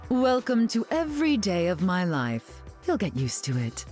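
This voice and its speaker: A haughty society queen from a video game. She is arch and imperious, with a mid-pitched voice that swings elegantly through its range. Soft music plays behind her sardonic lines. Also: wildly swooping pitch, normal speed. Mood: haughty, sly. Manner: imperious, arch, drawling endearments. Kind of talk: video game character dialogue.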